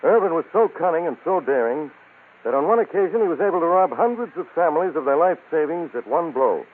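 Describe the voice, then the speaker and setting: An actor playing a retired police lieutenant, narrating a case emphatically in a scripted old-time radio crime show. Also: male voice, on the high side, expressive, fast talker, slightly rough. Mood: grave, dramatic. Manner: emphatic, dramatic storytelling. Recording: tinny, narrow old radio broadcast.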